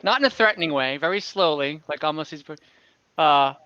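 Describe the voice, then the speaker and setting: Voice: medium pitch, lively intonation, fairly quick, bright, forceful tone, smooth, no echo. A game master narrating an online tabletop roleplaying game in an improvised, conversational way. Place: online voice call. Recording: dull lo-fi online call audio.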